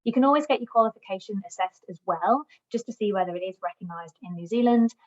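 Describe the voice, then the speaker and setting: A woman's voice: medium pitch, fast. A woman giving an encouraging job search presentation to migrants, speaking in a friendly advisory way. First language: English, also Welsh. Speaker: recruitment platform customer success manager giving a job search talk. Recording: dull lo-fi recording.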